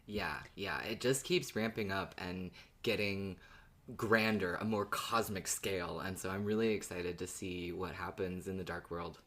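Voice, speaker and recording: A man's voice: sits low, steady tone, a little slow, pushed and bright. A man co-hosting a podcast in casual discussion. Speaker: podcast co-host.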